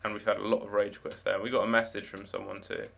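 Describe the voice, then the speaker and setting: A young man with no particular accent chats through a late-night game stream, his voice sitting slightly low. He rambles loosely, restarting sentences and padding them with fillers. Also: level tone, quick pace, vocal fry, fairly bright tone. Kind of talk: casual live-stream chat.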